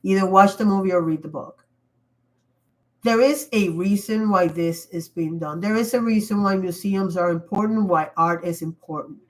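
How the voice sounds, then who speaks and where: A woman's voice: mid-range voice, moderate pace, rough recording. A woman hosting a casual livestream while sick and losing her voice, talking in an emphatic, rambling way with frequent restarts. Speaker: livestream host. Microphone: computer mic.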